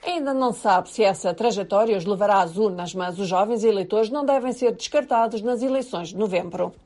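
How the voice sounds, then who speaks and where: A woman reading a news report in an even, measured way. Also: mid-range voice.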